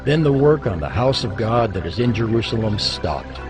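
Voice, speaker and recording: A man's voice: on the low side, big pitch swings, moderate pace. A man narrating scripture from a script as part of a dramatized reading. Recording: music behind the voice.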